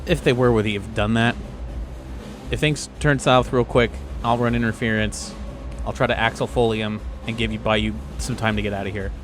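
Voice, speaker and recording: A man playing a tabletop game, talking through his plans casually and haltingly as he thinks aloud. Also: fairly low pitch, steady tone, faint music behind. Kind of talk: casual in-character game chat.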